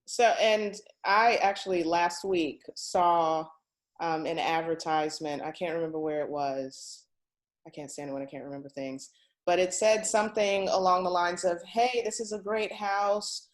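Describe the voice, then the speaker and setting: Lower-pitched woman hosting an informal session. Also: fairly even intonation, normal speed. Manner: conversational, thinks aloud. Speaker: host. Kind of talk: informal session hosting, relaying audience questions.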